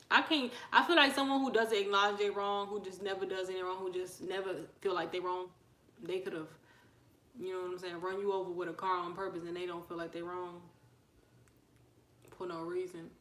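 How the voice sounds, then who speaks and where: A woman's voice: on the low side, little pitch movement, normal speed, pauses often, reverberant. A woman hosting a casual livestream, chatting with viewers, reading their comments and welcoming newcomers. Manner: chatty, asks viewers questions, shouts out newcomers. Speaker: livestream host.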